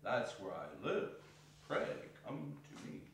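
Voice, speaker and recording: A man playing a gentle, grieving father, speaking tenderly and haltingly in a theatrical reading. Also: on the low side, huge pitch swings, exaggerated voice. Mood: subdued, grateful. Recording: very echoey hall, distant, faint noise.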